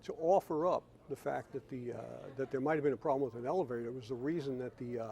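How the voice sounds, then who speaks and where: Mature man, dark mellow interview voice. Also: mid-range voice, fast, a little hiss or hum. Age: middle-aged or older. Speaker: aviation whistleblower advocate, interviewee. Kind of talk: unscripted news interview.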